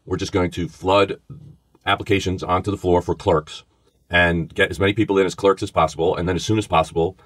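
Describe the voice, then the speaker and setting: A middle-aged man with a strong Bronx New York accent tells his story with animated energy. His voice sits very low and sounds close and dry. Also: a little fast, dry recording. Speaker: former FBI agent, podcast co-host. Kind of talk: casual storytelling conversation.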